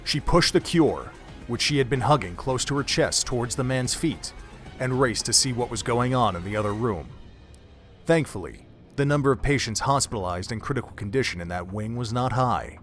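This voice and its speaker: A man's voice: medium pitch, expressive, normal speed, a bit bright. A man reading scripted narration for an audio drama in a dramatic storytelling manner. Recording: music under the narration.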